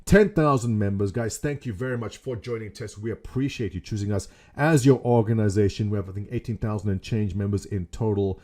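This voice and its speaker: Middle-aged South African man, mellow tone, jokey self-mocking webcam vlogger at a desk mic. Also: on the low side, a little fast, few pauses. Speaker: gaming community leader. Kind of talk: casual webcam vlog. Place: small home room indoors.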